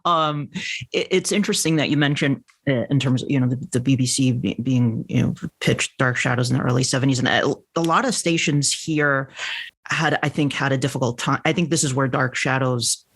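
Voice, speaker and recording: A man's voice: medium pitch, a little fast, vocal fry, a bit bright, husky. An American man chatting casually as a podcast host.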